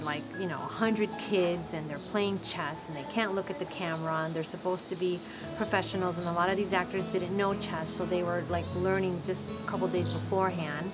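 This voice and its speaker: A woman film director speaking off the cuff in an interview, emphatic and effusive, often repeating phrases for stress. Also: fairly low pitch. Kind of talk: unscripted behind-the-scenes interview. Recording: music playing behind the voice.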